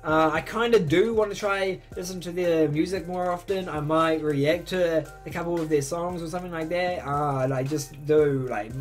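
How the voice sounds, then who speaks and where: An eighteen-year-old man answering fan questions in a casual, excitable vlog from his bedroom, with his voice jumping high whenever he gets enthusiastic. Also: normal speed, hardly any pauses, soft background music. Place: bedroom, indoors.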